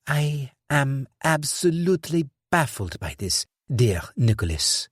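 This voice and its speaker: A cold, mocking crime boss, as a male narrator puts him on, drawing out each pointed question at a slow, deliberate crawl. His voice rides high and swoops around, dripping sarcasm. The recording is close and dry. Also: huge pitch swings, a little mellow, slightly rough, no room sound, full character voice. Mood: sneering, cold.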